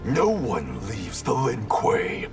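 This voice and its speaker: Gravelly, domineering ninja grandmaster. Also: male voice, medium pitch, huge pitch swings, takes it slowly, heavily acted. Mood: cold, commanding. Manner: stern, imperious, menacing. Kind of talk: scripted video game dialogue. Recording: clear music behind the voice.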